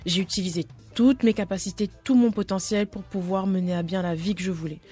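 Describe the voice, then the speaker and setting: A young woman from Réunion chats as a radio guest, telling her story at a relaxed, mid-pitched register. She laughs easily and keeps things friendly. Faint music sits under her voice in the studio. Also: brisk, husky. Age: young adult. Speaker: guest, personal coach. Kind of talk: casual radio interview.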